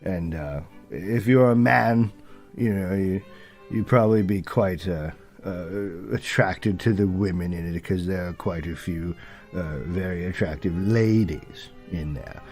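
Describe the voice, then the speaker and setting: A man playing a doddering, opinionated old British film critic who rambles haltingly and mutters forgetful asides in a comic review monologue. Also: low voice, darkish tone, soft background music. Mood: dismissive, musing.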